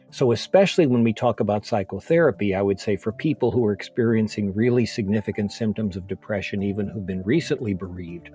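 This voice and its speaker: A man speaking as a physician in an interview, explaining things methodically in measured, orderly points. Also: fairly low pitch, a little mellow, slightly rough, no room sound, clean studio sound. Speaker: physician, expert interview guest.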